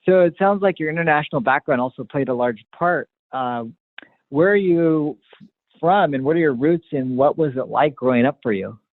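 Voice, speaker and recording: A man's voice: a little high, normal speed, no echo. A man hosting an interview in a conversational style. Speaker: interviewer, host. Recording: narrow phone-like line, dry.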